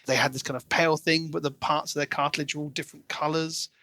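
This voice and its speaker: A man co-hosting a podcast, chatting casually and enthusiastically about a book in an expressive way. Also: a little high, fast, dry recording, studio quality. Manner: enthusiastic, expressive, acts out quoted lines. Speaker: podcast co-host.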